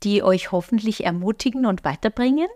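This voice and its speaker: A woman with a lilt co-hosts a studio podcast and speaks into a podcast microphone. She talks with eager enthusiasm at a middling pitch. Her tone stays bright and chatty, and she laughs easily. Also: brisk. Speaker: podcast co-host; photographer and coach. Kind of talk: casual podcast chat.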